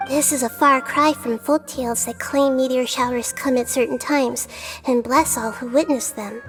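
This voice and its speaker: A woman voicing a small, gentle, wise childlike goddess in a video game, composed and articulate, with a soft girlish voice. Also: sits high, steady tone, moderate pace, faint music behind. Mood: thoughtful. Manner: composed, articulate, kindly explaining.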